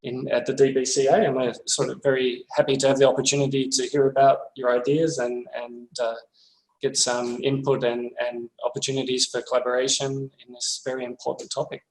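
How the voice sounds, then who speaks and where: A man hosting a seminar, introducing the speaker in a halting way with many fillers. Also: medium pitch, little pitch movement. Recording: echoey room.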